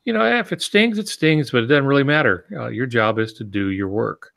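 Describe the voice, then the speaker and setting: A man's voice: medium pitch, brisk, creaky, fairly bright tone. A man co-hosting a podcast, giving conversational advice in a plainspoken, matter-of-fact way. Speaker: podcast co-host.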